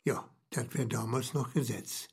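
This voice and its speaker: An actor playing a wry, knowing storyteller in radio play banter, husky, with a Low German accent and a dry, explanatory manner. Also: male voice, fairly even intonation, a little slow, full character voice. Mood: dry, grave.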